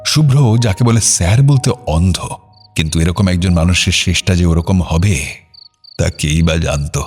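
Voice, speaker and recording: Very deep-voiced male storyteller over music. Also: measured pace, darkish tone. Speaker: story narrator. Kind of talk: scripted audio drama narration.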